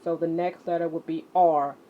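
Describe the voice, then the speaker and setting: A woman teaching children as an exercise leader, speaking deliberately and emphatically, addressing her students directly. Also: deep voice, little pitch movement. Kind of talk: instructional lesson to children, read-along with warm-up exercises. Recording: muffled audio with clear background noise.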